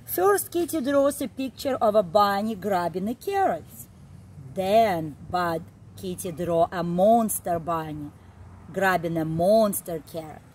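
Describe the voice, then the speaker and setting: Middle aged lady reading a kids book out loud, really lively pitch, outside with some background noise. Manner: expressive, deliberate read-aloud delivery with simple emphasized phrases. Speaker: early childhood storyteller. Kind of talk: reading a picture book aloud to young children. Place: outdoor backyard, seated on a garden seat.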